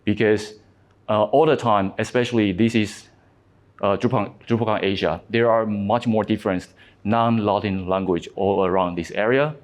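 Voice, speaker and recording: A man's voice: fairly low pitch, steady tone. A man in his thirties from Taiwan with a Taiwanese accent, whose first language is Mandarin, giving a conference presentation with many fillers and restarts. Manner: halting, frequent fillers and restarts. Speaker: conference speaker.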